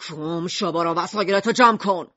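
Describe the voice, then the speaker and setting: A man's voice: high-pitched, sharp tone, no echo, highs cut off. A man playing a stern, hot-tempered father in an acted audio story, indignant and commanding.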